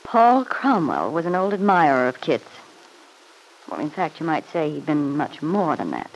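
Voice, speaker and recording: An actress playing a sly, spiteful middle-aged mother-in-law in an old radio serial, insinuating and quick to justify herself. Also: deep voice, very animated, exaggerated voice. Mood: insinuating, confiding. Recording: noisy old radio broadcast recording.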